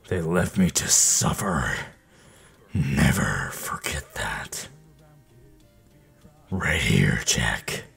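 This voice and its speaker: A young man voicing grim, gruff male game soldiers and assassins with a growling, menacing delivery. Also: a bass voice, a bit bright, pitched below the performer's own voice, heavily acted.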